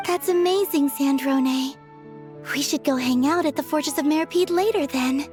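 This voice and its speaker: Woman voicing a very high, bubbly young girl. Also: normal speed, over music, natural voice. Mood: cheerful. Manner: bubbly, enthusiastic. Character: cheerful, eager young girl, sweet and friendly. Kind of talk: English video game voice acting.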